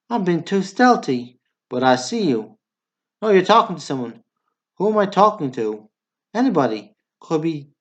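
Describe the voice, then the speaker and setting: Man acting a slow-talking blunt Southern small-town guy. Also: high-pitched, muffled recording. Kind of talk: stage comedy dialogue, radio drama acting.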